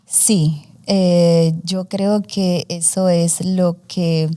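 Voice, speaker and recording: Mellow-voiced woman telling her story. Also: on the low side, fairly even intonation, drawn-out words, clear, resonant tone. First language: Spanish. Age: 40s. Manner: warm storytelling with many fillers, mixes in English words. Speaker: interviewee, student journalist. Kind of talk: casual interview conversation.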